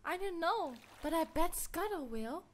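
A woman plays a curious teenage cartoon mermaid with a high, girlish voice. She is adventurous, breezy and teasing. Faint noise sits behind her. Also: lively intonation, unhurried. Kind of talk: animated film fan dub.

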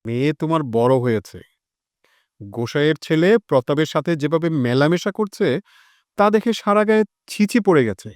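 A man's voice: on the high side, animated, moderate pace, dry recording, clean studio sound, lightly acted. A man playing a meddling, scolding older village gossip in an audio drama, insinuating and admonishing. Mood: reproachful admonishing. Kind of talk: Bengali audio drama acting.

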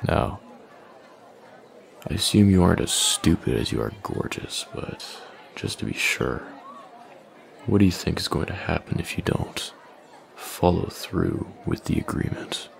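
A man playing a smug, menacing young male bully in an intimate audio roleplay, taunting with slow, deliberate threats in a deep, gravelly voice. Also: lively intonation, some chatter behind, a little hiss or hum, full character voice. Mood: mocking menace. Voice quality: deep, gravelly, breathy at line ends.

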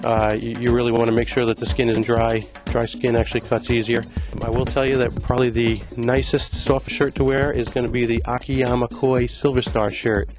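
A man's voice: medium pitch, flat delivery, normal speed, few pauses. Man touring a shop with music behind. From Long Island, New York. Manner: conversational, many fillers and restarts. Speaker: store owner giving a tour. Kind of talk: casual walkthrough tour. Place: indoor retail store. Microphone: handheld mic. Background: music playing in the store.

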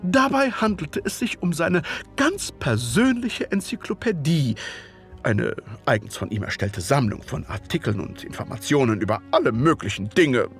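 A genteel Victorian gentleman narrates an audio drama in a slightly high, animated voice. He is formal and wordy, pausing politely over his phrasing, with soft music behind him. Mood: genteel, musing. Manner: formal, measured, hesitating politely.

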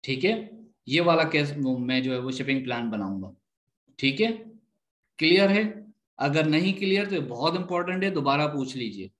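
A man's voice: a little high, animated, normal speed, a bit bright. A man giving a live online lesson as instructor, explaining step by step, repeating points and often checking that students follow. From Pakistan.

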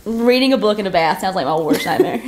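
A woman's voice: medium pitch, lively intonation, fast talker, darkish tone, a little hiss or hum. A young adult woman co-hosting a podcast in casual chat, with a teasing, incredulous way of asking questions. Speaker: podcast co-host. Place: living room, on a couch.

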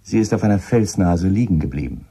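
An actor in a German radio drama playing a shrewd, relentless police inspector, husky and insistent as he questions. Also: low-pitched, expressive, brisk, mellow, heavily acted. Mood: relentless, accusing. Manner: probing, insistent.

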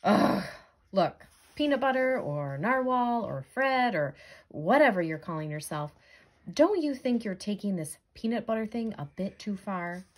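A woman's voice: medium pitch, lively intonation, a little slow. A woman voicing an anxious, exasperated jellyfish, fretful and incredulous, in a picture-book read-aloud.

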